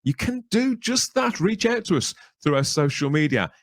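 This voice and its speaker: A man's voice: a little high, big pitch swings, moderate pace, no room sound, studio quality. A man hosting a podcast in a chatty, enthusiastic, promotional way. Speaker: podcast host. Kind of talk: podcast interview and scripted sign-off.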